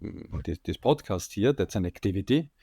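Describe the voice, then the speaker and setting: An Austrian-accented man with a fairly low voice talks through his ideas on a podcast, stopping often for fillers and dropping in jokes. His condenser microphone gives a close, dry sound with no room echo. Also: expressive, normal speed, creaky, warm tone, breathy edge. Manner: explanatory, joking, many fillers. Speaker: guest enterprise architect. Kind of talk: podcast interview.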